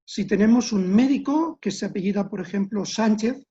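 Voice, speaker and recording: A woman giving an explanatory history lecture as a webinar guest, speaking in a measured way with pauses and restarted words. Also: medium pitch, dark tone, highs cut off. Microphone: computer mic on a video call.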